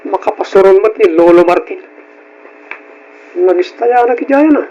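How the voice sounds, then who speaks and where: A man voicing a cheeky, evasive high school boy with an Ilocano accent in a radio drama. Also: animated, moderate pace, dark tone, highs cut off, a little hiss or hum. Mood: grumbling, scheming. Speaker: drama voice actor.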